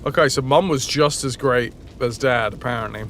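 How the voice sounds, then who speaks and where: A man's voice: medium pitch, moderate pace, a bit bright, a little hiss or hum. A man doing casual live video game commentary with a sardonic, dry sense of humour. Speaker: live streamer. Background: game music.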